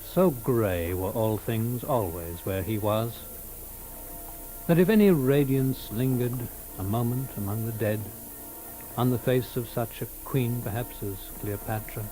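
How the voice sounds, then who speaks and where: A man narrating a scripted literary tale in a measured, solemn manner. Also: medium pitch, music in the background, low-quality recording.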